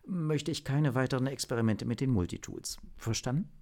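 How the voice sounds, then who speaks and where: A man's voice: mid-range voice, fairly quick, dark tone, voice pushed up, natural voice. A man voicing a stern but fair female team boss, speaking formally and with command in a story reading. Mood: stern, approving.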